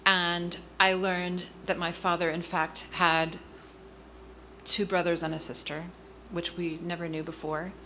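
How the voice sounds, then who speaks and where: Bright-voiced woman telling a family story. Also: lowish voice, little pitch movement, slight background noise. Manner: earnest, halting with frequent ums and restarts. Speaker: guest speaker. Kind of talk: personal story in a public interview.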